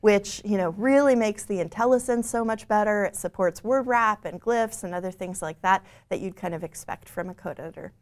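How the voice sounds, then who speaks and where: A woman in her forties explaining software features on a studio stage, fluent but full of "you know" fillers and small restarts. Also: medium pitch, brisk, hardly any pauses. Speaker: product lead presenting the software. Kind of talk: scripted-feeling tech keynote conversation. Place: bright studio stage with screen behind.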